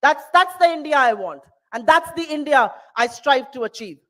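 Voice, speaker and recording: A woman with a high voice, a native Hindi speaker, addresses a town hall audience. She builds her political speech through rousing, repeated lines. Her delivery is passionate. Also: animated, normal speed, fairly bright tone. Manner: rousing, repetitive rhetoric.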